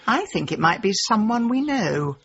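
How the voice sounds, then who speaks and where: An actress playing a nosy, brash middle-aged British woman amateur detective in a radio drama, brisk and emphatic. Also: medium pitch, very animated, gravelly, fairly bright tone, husky, dull audio, heavily acted. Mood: speculating. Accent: British English.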